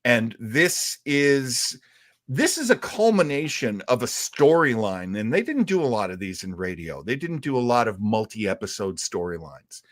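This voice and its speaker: A man with a medium-pitched voice talks as a show co-host in a close, dry recording. He rambles along casually like an enthusiastic fan on a live stream. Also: very animated, measured pace, no echo. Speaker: co-host of a retro radio show. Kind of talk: casual live-stream chat about old radio serials.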